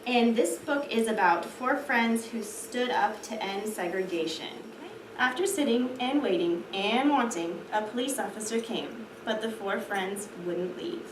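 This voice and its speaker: A woman's voice: a little high, unhurried. Woman teaching, echoey distant recording. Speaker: trainee teacher rehearsing a read-aloud. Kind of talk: classroom read-aloud introduction. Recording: echoey, distant mic, clear background noise.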